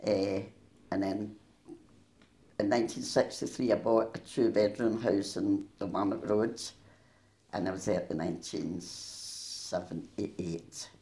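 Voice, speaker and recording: An older Scottish woman with a gruff Glaswegian voice tells stories from her life in a chatty way and laughs easily. She speaks into a handheld mic in a small room with a noticeable echo. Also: very deep voice, fairly even intonation, unhurried, dark tone. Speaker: interviewee. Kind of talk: oral history interview. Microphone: handheld mic held near her.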